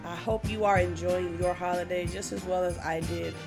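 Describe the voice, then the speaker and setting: A woman's voice: on the low side, steady tone, normal speed, vocal fry, over music. A woman introducing her holiday podcast, earnest and hesitant with fillers. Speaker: podcast host.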